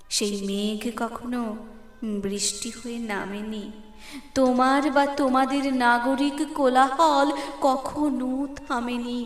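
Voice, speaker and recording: A woman reciting poetry dramatically, with expressive, deliberate phrasing and pauses. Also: on the high side. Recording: echoey, long reverb, music underneath. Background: background music.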